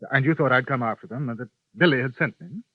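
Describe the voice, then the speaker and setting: Brief: man as a cool, courteous English gent, quick and lively delivery, lo-fi vintage radio. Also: mid-range voice, no room sound, close to an everyday voice. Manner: polite, dryly understated. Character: unflappable English gentleman drifter in the West. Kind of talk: 1950s radio drama acting. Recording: dull lo-fi 1950s radio recording.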